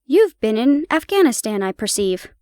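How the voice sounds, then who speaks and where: An eager, brilliant detective bursts with enthusiasm, as a woman reads him aloud. The voice sits fairly high and sounds dry and close. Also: sing-song, moderate pace, a little mellow, no room sound. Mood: cordial. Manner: fervent, exclaiming.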